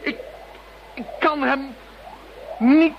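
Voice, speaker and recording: An actor playing a tormented man who fends off a mental intruder, halting and repeating words under strain in a radio play. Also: very high voice, fairly even intonation, exaggerated voice. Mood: strained, pleading. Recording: muffled radio play, faint noise.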